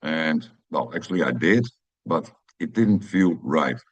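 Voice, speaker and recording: A man's voice: rumbling low, animated, measured pace, dry recording, highs cut off. A middle-aged Dutch man with a Dutch accent, speaking English as a second language in a reflective personal monologue, hesitant with many fillers and restarts. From Netherlands. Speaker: podcast host.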